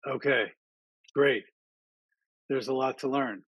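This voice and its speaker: A man's voice: medium pitch, steady tone, slow, no room sound, lo-fi sound. Chatty man presenting on a video call. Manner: friendly, chatty, frequent ums and uhs. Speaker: speaker at an online town hall. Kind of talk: casual presentation to an audience.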